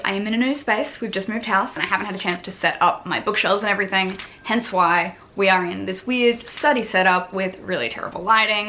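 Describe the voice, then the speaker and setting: A woman's voice: medium pitch, pushed and bright, slight background noise. A woman, chatting casually and self-deprecatingly about the books she read this month from a small home study. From Australia. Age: 20s. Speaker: book vlogger. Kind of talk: casual monthly reading wrap-up.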